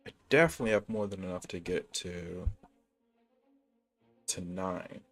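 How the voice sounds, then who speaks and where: A man's voice: low voice, a little slow, faint music behind. A man livestreaming a video game, chatting casually and talking to viewers in chummy slang. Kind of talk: casual gaming stream chat.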